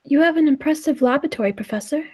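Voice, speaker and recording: Woman playing a high-voiced, timid, polite guest. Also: racing, mellow, natural voice. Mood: astonished. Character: polite, timid, skeptical dinner guest of the professor. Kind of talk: 1940s radio play acting.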